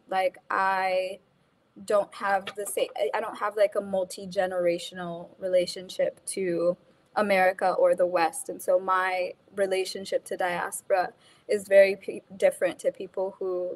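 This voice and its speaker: A woman from Kenya, raised in the States, answering interview questions in a casual, thoughtful way with frequent "like" and "um" fillers. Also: medium pitch. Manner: many fillers like "like" and "um", restarts. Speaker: guest speaker.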